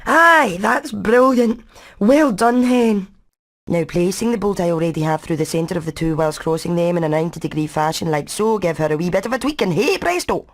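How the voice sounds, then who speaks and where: A woman's voice: lowish voice, lively intonation, normal speed. A woman voicing a brainy, practical hen engineer in a video game, with a Scottish accent and a technical, matter-of-fact way of talking. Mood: delighted. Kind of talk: animated video game acting.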